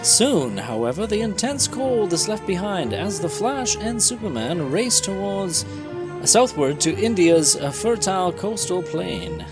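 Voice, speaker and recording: A man's voice: high-pitched, very animated, unhurried, loud music behind the voice. A man hosting a comedy dramatic reading, moving between scripted narration and joking banter. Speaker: podcast host reading comic narration.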